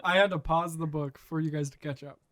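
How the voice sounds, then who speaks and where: A man speaking as a podcast guest, giving quiet, brief replies in casual chat. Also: fairly high pitch, steady tone, fairly quick, dry recording, studio quality.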